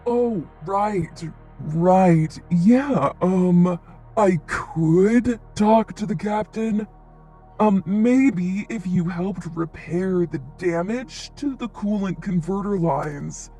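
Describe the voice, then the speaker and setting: A man's voice: high-pitched, extremely slow, dark tone, soft background music, heavily acted. A man playing a hesitant, easily outraged alien pirate crewman in a space comedy audio drama.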